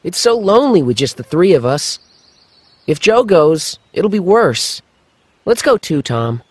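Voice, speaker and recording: Highish meek cartoon boy, kinda gloomy n hesitant, voice goes up and down. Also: big pitch swings, measured pace, husky, full character voice. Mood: sad, quiet. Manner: hesitant, plaintive.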